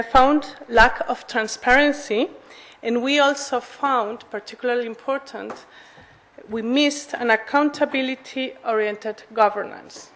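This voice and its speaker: Higher-pitched Spanish-accented woman giving formal speech. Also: fairly bright tone, rough recording. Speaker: association president, lobbyist speaker. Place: conference in a parliament hall.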